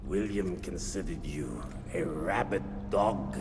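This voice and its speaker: An actor playing a mocking vampire henchman with a gravelly voice in a game cutscene. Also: low-pitched, heavy background noise. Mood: taunting. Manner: taunting, theatrical, slow. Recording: game cutscene over loud score.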